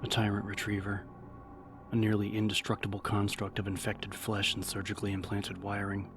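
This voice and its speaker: A man reading a novel as an audiobook narrator, in a measured, serious, dramatic style. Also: on the low side, monotone. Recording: narration over background music.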